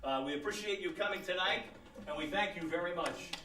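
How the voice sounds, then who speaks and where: A man's voice: high-pitched, brisk, very noisy. A man hosting and announcing in the style of an old-time radio show, with a theatrical, showy delivery. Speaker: host and announcer. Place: small theater studio before live audience. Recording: roomy, distant, loud guitar behind. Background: plucked guitar music.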